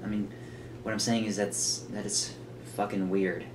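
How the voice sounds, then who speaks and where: A man's voice: on the low side, little pitch movement, moderate pace, noisy background. A man giving casual, off-the-cuff reaction commentary in a hesitant, rambling way. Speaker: reaction video host.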